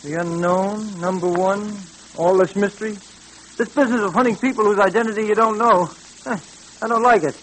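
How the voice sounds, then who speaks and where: An actor playing an affable, inquisitive police sidekick with a conversational manner in an old-time radio drama. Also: male voice, sits high, fairly even intonation, moderate pace, fairly bright tone. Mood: puzzled. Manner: conversational, questioning. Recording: noisy old radio recording.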